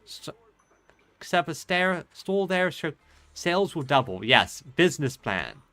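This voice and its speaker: A man's voice: a little high, very animated, unhurried, pushed and bright. A man giving casual, rambling game commentary and reading dialogue aloud as he plays. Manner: rambling, hesitant thinking aloud. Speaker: game streamer.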